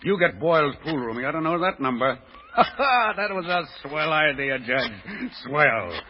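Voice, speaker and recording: A man's voice: on the high side, sing-song, measured pace, a little hiss or hum. A male actor playing a tough, slangy New York gangster boss with an American accent in an old radio drama. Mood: eager, excited. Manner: brash, slangy, blustering. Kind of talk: 1930s-40s American radio drama acting. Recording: muffled, dull vintage radio recording.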